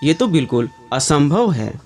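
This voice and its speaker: A man's voice: fairly high pitch, very animated, a little slow, a little mellow. A man voicing a blunt, emphatic village headman in a storybook tale. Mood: baffled. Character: village headman, accusing and baffled. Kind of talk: storybook narration dialogue. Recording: background music under the voice.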